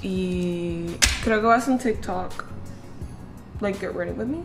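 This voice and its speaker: A woman's voice: mid-range voice, unhurried, music in the background. A young woman in her twenties chatting casually to a camera held at arm's length, slipping English phrases into her talk. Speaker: vlogger. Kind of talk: casual vlog chat. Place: bedroom, restaurant and car.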